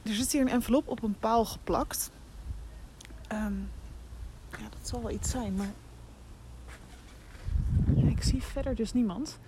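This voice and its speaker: Super noisy outdoor recording, a nervous determined young reporter gal, bit high, talking haltingly to her recorder. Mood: nervous, hushed. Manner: hesitant, halting, thinking aloud. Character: anxious, determined young female local reporter investigating a mystery. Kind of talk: audio drama, recorded voice diary while walking.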